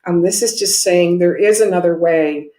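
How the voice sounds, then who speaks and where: Low-pitched woman, encouraging, in a roomy space. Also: moderate pace, mellow. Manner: encouraging, reassuring. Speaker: guest intuitive medium giving a reading. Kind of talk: spiritual reading and advice. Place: indoor room, video call. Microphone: video call microphone. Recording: roomy echoing video call.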